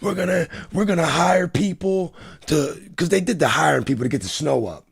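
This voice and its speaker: Gravelly, husky-voiced man riffing on the news. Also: fairly high pitch, lively intonation, brisk, no room sound. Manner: loose slangy banter, trails off and restarts. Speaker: show host. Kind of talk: casual commentary reacting to news clips.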